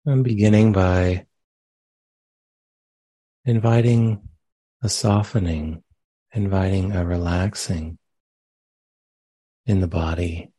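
A man leading a guided meditation as a teacher, speaking gently and unhurriedly with long pauses between phrases. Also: sits low, steady tone, breathy edge, no echo. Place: meditation center.

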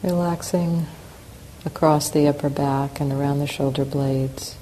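Woman, meditation teacher, very low pitch, calm spaced-out instructions, noticeable background noise. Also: low-quality recording. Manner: calm, unhurried, gently instructing. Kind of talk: guided meditation, slow spoken instructions with long pauses. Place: meditation center hall. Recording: clear steady background noise.

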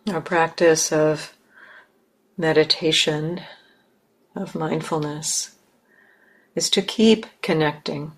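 A woman leading a guided meditation as a teacher, speaking in short, measured phrases with pauses between them. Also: low voice, steady tone.

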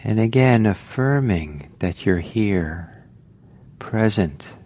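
A man leading a guided meditation as a teacher, speaking gently in short phrases with long pauses. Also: on the low side, animated. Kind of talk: guided meditation, slow spoken instructions. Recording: faint background noise.

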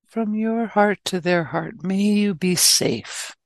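A woman leading a guided loving-kindness meditation as a teacher, speaking gently and in measured phrases with long pauses. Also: mid-range voice, expressive, gravelly, no room sound, clean studio sound.

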